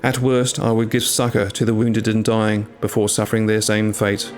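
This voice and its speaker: A man reading a scripted first-person tale in a measured, literary storytelling manner. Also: on the low side, monotone, a little mellow. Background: music.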